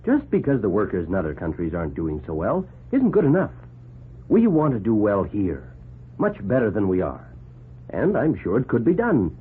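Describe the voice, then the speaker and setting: A man's voice: fairly low pitch, wildly swooping pitch, normal speed, slightly rough, heavily acted. An actor in a 1940s radio drama playing a disgruntled but fair-minded working man, blunt and earnest. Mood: earnest grumbling. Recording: muffled, narrow old 1940s radio recording.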